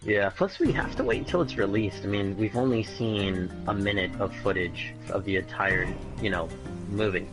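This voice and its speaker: A man casually discussing entertainment as a gaming show panelist, pausing to search for words. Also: fairly low pitch, low-quality recording. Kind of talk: casual discussion of movies and games. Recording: music behind the voice.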